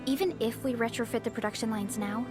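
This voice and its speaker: A woman performs a young female frontier relief helper in a video game, her voice fairly high, earnest and upbeat. Music plays clearly behind her as she speaks. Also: quick pace, close to an everyday voice. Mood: concerned, resolute. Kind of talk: video game cutscene acting.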